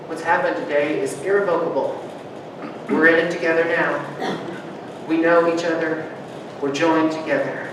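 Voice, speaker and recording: A man preaching a sermon as pastor, moving between loud rousing calls and soft hushed reflection, joking easily with his congregation. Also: a little high. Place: church sanctuary. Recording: distant mic, strong room echo, background noise.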